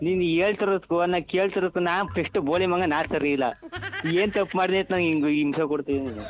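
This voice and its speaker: A man's voice: high-pitched, fast. A man with a Mysuru Kannada accent on a casual phone call, blunt, slangy and exasperated, with music underneath. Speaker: prank call victim.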